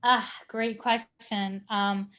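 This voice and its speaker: A woman's voice: a little high, little pitch movement, slow, fairly bright tone. Woman speaker. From Lebanon. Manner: thoughtful, searches for words. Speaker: guest speaker. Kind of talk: virtual panel Q&A answer. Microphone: video call.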